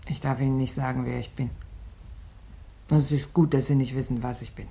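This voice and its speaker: A young street woman in a 1950s German radio play, with a very deep and husky voice. She is guarded and blunt, speaking with bitter fatalism yet stubborn loyalty. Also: little pitch movement, brisk, warm tone, full character voice. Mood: guarded, earnest.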